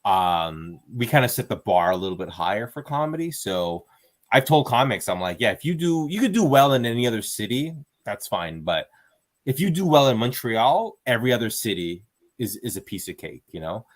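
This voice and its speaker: A man's voice: fairly low pitch, big pitch swings, moderate pace. A man, a stand-up comedian, chatting casually as an interview guest, rambling with fillers and restarts and acting out quoted exchanges. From Canada.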